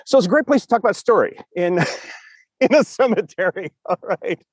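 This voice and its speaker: A man with a fairly high, animated voice co-hosts a casual show. He rambles enthusiastically and doubles back on his phrases, in a dry, unechoed sound. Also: moderate pace, husky, no room sound. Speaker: podcast co-host and storytelling teacher. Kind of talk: casual chat. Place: outdoors in a cemetery.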